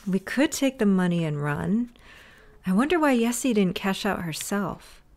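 A woman acting a stressed cousin who runs a failing shop, stern and exasperated. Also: medium pitch. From United States. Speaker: shop owner character. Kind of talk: audio drama acting.

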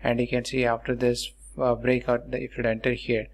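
A man narrating a chart tutorial in a halting, repetitive, explanatory way as a channel host. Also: medium pitch, completely flat delivery. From India.

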